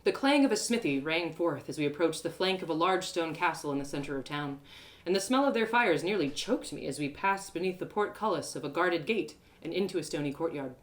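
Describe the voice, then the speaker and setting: A woman storyteller giving an expressive first-person narration of a fantasy adventure in a live reading. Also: sits low, brisk. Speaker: storyteller, one-woman audio drama performer.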